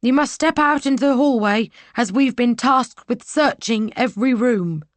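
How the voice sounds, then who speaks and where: A woman's voice: a little high, moderate pace, no room sound, voice pushed up, close to an everyday voice. A woman doing a nervous young soldier lad. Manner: hesitant, stammering. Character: young timid male soldier, nervous and dutiful.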